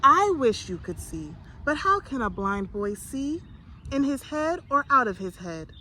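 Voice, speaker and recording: A woman's voice: high voice, sing-song, measured pace, sharp tone, a little hiss or hum, pitched above the performer's own voice, exaggerated voice. A woman voicing a weary, firm, scolding but loving village mother in a storybook. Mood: doubtful. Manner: chiding, firm.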